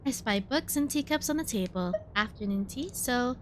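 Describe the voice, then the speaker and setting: A woman's voice: a little high, expressive, moderate pace, background noise. A woman game streamer doing live playthrough commentary, reading dialogue aloud and reacting to it. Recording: game music clearly behind the voice.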